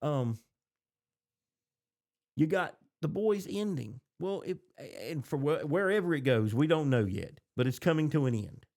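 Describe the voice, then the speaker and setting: A man's voice: on the high side, lively intonation, measured pace, darkish tone. An American man hosting a podcast, chatting casually about TV shows in reaction to them. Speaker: podcast host.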